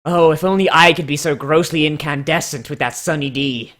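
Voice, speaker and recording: A man's voice: a little high, fairly quick, a bit bright. A man giving casual, mocking comedic commentary as the host of a reading show. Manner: sarcastic, mocking.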